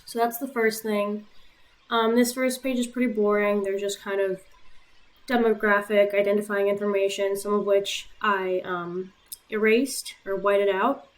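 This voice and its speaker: A young woman from Puerto Rico, a native Spanish speaker, talks her viewers through her own application as a vlogger. Her delivery is relaxed and matter-of-fact, with plenty of "um" fillers between points. Her voice sits at an ordinary middle pitch. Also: measured pace. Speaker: YouTuber and medical school applicant.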